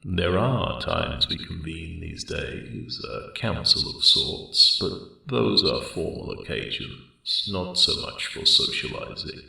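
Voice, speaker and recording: An immense, ancient dragon speaks in an extremely deep, growling, gravel-filled voice that echoes heavily through a cave-like space. He is a courteous, wry old guardian who takes his time, rambling amiably in genteel, old-fashioned phrases for an ASMR fantasy roleplay. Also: expressive, slow pace, hardly any pauses, pushed and bright, exaggerated voice. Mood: reflective. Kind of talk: ASMR character roleplay monologue. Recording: roomy cave-like echo, distant.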